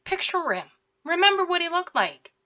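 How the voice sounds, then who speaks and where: Woman, sore scratchy throat, bright tense voice, confrontational commentary host. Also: extremely high pitch, very animated, normal speed, highs cut off. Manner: confrontational, addressing someone directly, repeats herself. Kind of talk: direct-to-camera commentary.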